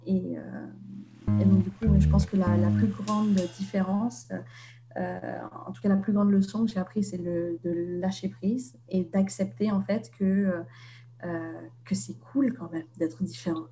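A young woman from Vietnam speaks as an author being interviewed. Her voice is mellow and soft, and she hesitates often on fillers and restarts. The recording is roomy and echoey, with a faint hum behind her. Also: mid-range voice, fairly even intonation, measured pace. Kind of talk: reflective interview conversation.

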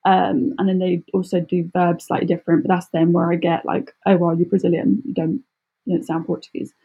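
A British woman speaking casually as an interview guest, chatty and expressive, often acting out little quotes. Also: on the low side, fairly quick, husky, phone-quality sound. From United Kingdom. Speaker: podcast guest, travel blogger.

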